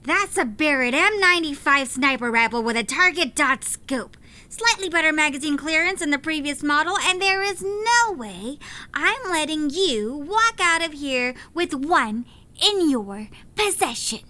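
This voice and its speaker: A woman's voice: sits high, expressive, a little slow, pushed and bright, full character voice. An actress playing a firm, scolding female gun seller in an audio drama, brusque and lecturing. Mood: brisk, unyielding.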